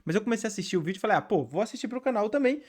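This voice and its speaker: Brazilian man, young adult, rapid casual chat, slightly high voice, excitable. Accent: Brazilian Portuguese. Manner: chatty, frequent "tá?" tags, excitable bursts. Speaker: reaction video host. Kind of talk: casual reaction commentary.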